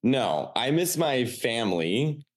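A man's voice: medium pitch, very animated, takes it slowly. A man in his thirties with a Chicago accent, a comedian chatting casually on a podcast, full of "like" fillers. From Chicago area, US. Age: 30s. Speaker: stand-up comedian, podcast guest. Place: apartment with windows open.

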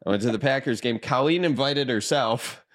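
A man's voice: medium pitch, moderate pace, a bit bright. A man hosting a podcast, chatting casually and joking, often addressing listeners as folks. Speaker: podcast host. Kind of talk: casual chat and interview.

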